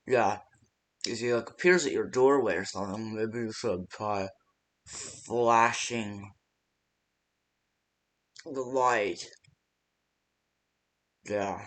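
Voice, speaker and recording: A man's voice: on the low side, a bit bright. A man giving casual, improvised phone-call voice lines for a game, hesitant and rambling with many ums. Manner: casual, unpolished delivery. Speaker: phone-call guide in a fan horror game.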